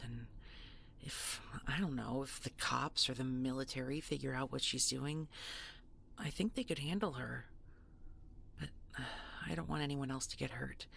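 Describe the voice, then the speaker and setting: Woman acting devoted nightmare girlfriend in audio rp, super creaky, breathy and halting, bit of noise behind. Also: a bass voice, fairly bright tone, heavily acted. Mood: tense, hopeful. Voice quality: breathy, creaky, often near a whisper. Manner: slow, halting, intimate. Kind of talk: intimate audio roleplay acting.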